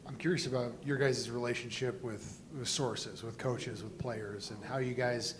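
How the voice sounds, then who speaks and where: A man's voice: steady tone, moderate pace. A man moderating a panel, asking questions conversationally in roundabout, hesitant phrasing. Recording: rumbly, clearly noisy room recording.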